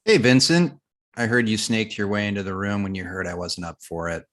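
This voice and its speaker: A man's voice: lowish voice, brisk, a bit bright, close to an everyday voice. A man reading an arrogant, smug male TV actor in a comedy script, in a casual way. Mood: smug, hostile. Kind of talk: comedy script table read.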